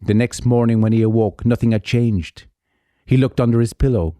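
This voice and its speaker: A man narrating a folk tale as a storyteller, measured and theatrical, with deliberate pauses. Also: mid-range voice, steady tone, dark tone, no echo, studio quality. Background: music under the voice.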